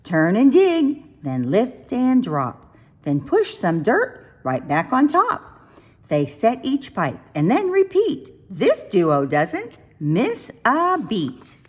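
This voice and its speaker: A woman reading a rhyming picture book aloud for young children, with expressive, sing-song, deliberate phrasing. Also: a little high, slightly rough. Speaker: children's storybook reader.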